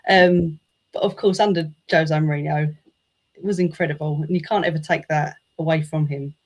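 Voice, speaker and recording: A woman guest on a podcast who talks about football in a relaxed, casual way, filling her answers with um and sort of. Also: sits low, normal speed. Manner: relaxed, with many fillers. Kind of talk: casual interview chat.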